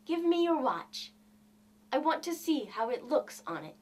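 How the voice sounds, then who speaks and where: A woman's voice: high voice, sing-song, measured pace, recorded from a distance. Woman as an earnest young wife, wide swooping intonation. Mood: eager. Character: devoted, eager young wife, emotional and girlish. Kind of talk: storybook character dialogue.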